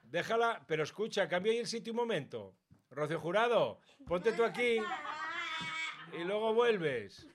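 A man's voice: sits high, sing-song, moderate pace. A man hosting a casual interview, chatting in an informal, jokey way full of colloquial slang. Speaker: radio host interviewing a guest and taking song requests. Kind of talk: casual, playful chat.

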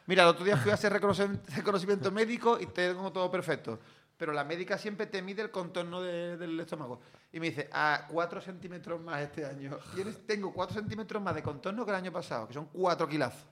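A man's voice: sits high, fast, fairly bright tone. A man in his forties from Cádiz with an Andalusian accent, chatting and joking about himself as an interview guest. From Cádiz, Spain. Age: 40s. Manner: chatty, joking, self-mocking. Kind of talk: casual chat.

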